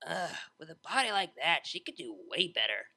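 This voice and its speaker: A brash young female DJ pony from a storybook played by a man, with a bright, creaky voice. The delivery is slangy, excitable and very animated. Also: a little high, normal speed, full character voice. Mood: playful. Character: brash, laid-back young female unicorn DJ. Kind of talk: fan fiction audiobook character voice.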